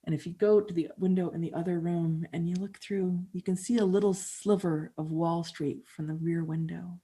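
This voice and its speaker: A woman in her fifties from Canada, performing a conversational first-person monologue with dramatic pauses. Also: on the low side, fairly even intonation, warm tone, husky. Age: 50s. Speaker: storyteller and musician.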